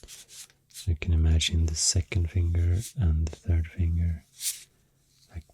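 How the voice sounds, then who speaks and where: A man with a Swedish accent doing soft-spoken, often whispery ASMR, explaining things in an unhurried, hesitant ramble close to the microphone. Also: sits low, completely flat delivery, breathy edge. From Sweden. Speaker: ASMR creator. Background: pencil writing and clicking sounds.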